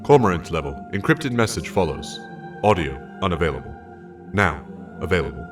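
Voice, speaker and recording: A man's voice: deep voice, big pitch swings, a little fast, recorded from a distance, music in the background. A man voicing a cold, formal message system that makes clipped official announcements in a game lore reading.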